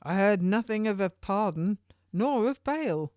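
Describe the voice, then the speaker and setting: A woman's voice: mid-range voice, animated, unhurried, a little mellow, no echo, clean studio sound, voice pushed up, lightly acted. A woman voicing a young male prison turnkey in a historical audiobook, urgent and insistent, with emphatic, archaic formal speech. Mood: earnest, official. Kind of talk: audiobook character dialogue.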